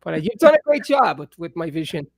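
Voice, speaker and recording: A man with a high speaking voice in a casual, playful, teasing chat as he hosts another musician. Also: very animated, quick pace, dry recording.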